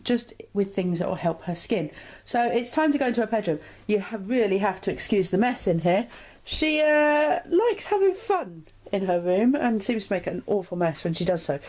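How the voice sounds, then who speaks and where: A woman's voice: mid-range voice, darkish tone. A woman in her thirties talks casually to a handheld camera at home. Her delivery is varied and melodic. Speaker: mother and parenting vlogger. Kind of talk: casual home vlog narration. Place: inside a house, walking between rooms. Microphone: handheld action camera.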